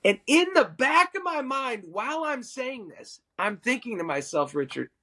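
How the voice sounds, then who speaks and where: A middle-aged man, a worship musician and podcast guest, telling a personal story in an animated, self-amused way. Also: very high-pitched, moderate pace.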